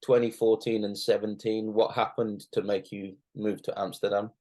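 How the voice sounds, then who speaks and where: A man's voice: lowish voice, level tone, normal speed, warm tone. A man hosting a casual interview, asking brief questions and often answering with "mm-hmm". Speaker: interviewer, host.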